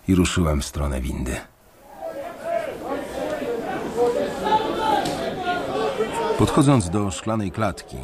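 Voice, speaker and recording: An actor narrating in first person as an anxious, sardonic bank employee facing layoff, in a measured, dry radio drama delivery. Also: male voice, deep voice, very noisy. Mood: wry. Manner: measured, dry, wry storytelling.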